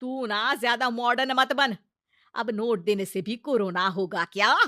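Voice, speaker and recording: A woman playing a stubborn, hot-tempered village mother-in-law in a comic family story, loud and theatrical. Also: on the high side, steady tone, unhurried, no echo, studio quality. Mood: scornful.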